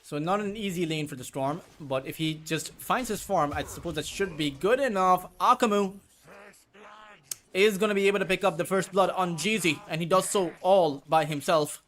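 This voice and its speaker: Chatty esports caster, Urdu native. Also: male voice, high-pitched, fairly bright tone. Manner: rambling, chatty asides between quick play calls. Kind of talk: solo play-by-play commentary.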